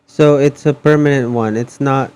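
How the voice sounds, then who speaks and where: A man chatting casually on a game livestream in a hesitant, halting manner. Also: mid-range voice, steady tone, a little mellow, clean tone. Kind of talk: casual stream chat.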